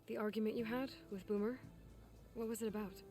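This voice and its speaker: An actress playing a calm, capable young heroine in a video game, speaking in a direct, inquisitive way. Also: female voice, a little high, fairly quick, natural voice. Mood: curious. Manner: direct, probing. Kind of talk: video game character acting. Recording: faint music behind the voice.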